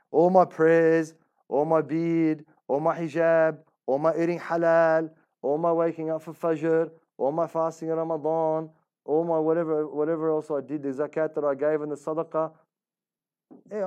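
Man teaching a conversational religious class, higher-pitched. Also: a little mellow. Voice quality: code-switches into Arabic religious phrases. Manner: colloquial, rhetorical questions and repetition, role-plays inner voices. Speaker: Islamic teacher, shaykh.

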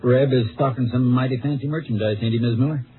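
A man's voice: mid-range voice, fairly even intonation, quick pace, a little hiss or hum, lightly acted. A man playing an easygoing, polite rural sheriff with a Texan accent in a 1950s radio drama. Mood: curious, dry. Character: folksy, easygoing rural Texas sheriff, loyal sidekick. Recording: narrow 1950s radio recording, music behind.

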